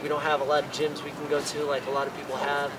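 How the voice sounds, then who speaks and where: A man's voice: fairly high pitch, fast, heavy background noise. Nineteen-year-old guy, distant outdoor mic. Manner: relaxed, rambling with fillers. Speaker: parkour athlete interviewee. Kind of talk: casual interview. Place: outdoors on a campus.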